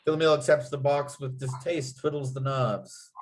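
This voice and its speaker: A man's voice: mid-range voice, level tone, moderate pace. A man reading screenplay stage directions as the narrator of a table read.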